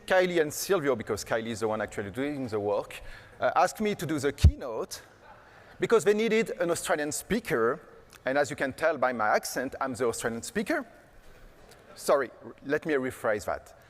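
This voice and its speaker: A man's voice: on the high side, very animated, normal speed. A man giving a casual, joking conference keynote on stage, full of fillers like "like" and "uh". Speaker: keynote speaker. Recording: long hall reverb.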